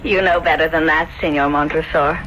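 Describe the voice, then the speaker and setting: Meek young woman, self-deprecating, earnest, sharp bright tone, 1970s radio melodrama. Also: low voice, fairly even intonation, fairly quick, slight background noise, poor audio. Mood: shy, flattered. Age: young adult. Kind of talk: 1970s radio drama acting. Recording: vintage radio broadcast, music behind.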